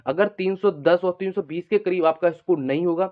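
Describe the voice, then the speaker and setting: Male video host, slightly high voice, rapid informal delivery, repetitive explaining. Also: narrow, tinny audio. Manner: repetitive, explanatory, frequent check-in tags. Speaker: exam-news video host, coaching aspirants. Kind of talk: informal unscripted exam update monologue.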